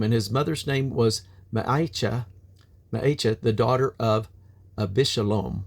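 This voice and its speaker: A man reading from the King James Bible in a solemn, deliberate manner, with emphatic phrasing and drawn-out endings. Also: medium pitch, vocal fry. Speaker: Bible reader.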